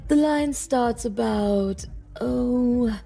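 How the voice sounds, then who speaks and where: A woman's voice: on the high side, drawn-out words, gravelly, dark tone, slightly rough, faint music behind, exaggerated voice. A woman playing a flirtatious, self-assured demon boss of a lavish city in a game cutscene, teasing and sultry. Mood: breezy. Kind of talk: voice acting for a game mod cutscene.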